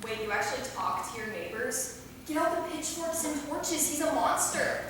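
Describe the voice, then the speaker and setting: High-pitched cheeky young vampire played by a woman. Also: animated, moderate pace. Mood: teasing. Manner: teasing, slangy, sarcastic. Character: cheeky, flippant young female vampire from Wisconsin. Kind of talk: staged reading of a comedy play. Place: theater, recorded from a distance. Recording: very echoey hall, far mic, clear noise.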